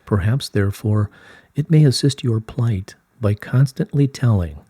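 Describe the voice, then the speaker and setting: Man as wise collective light being, formal scripted reading, serene, very slow, soft-edged slightly husky voice, dry close sound. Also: fairly low pitch, expressive, no room sound. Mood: patient guidance. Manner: formal, measured, solemn phrasing. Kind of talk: scripted channelled dialogue read aloud.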